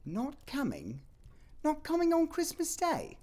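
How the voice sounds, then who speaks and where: A man's voice: very high voice, huge pitch swings, a little slow, darkish tone, pitched above the performer's own voice, heavily acted. A man voicing a kindly, doting poor clerk and father in a Victorian audio play, tender and given to exclaiming. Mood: dismayed.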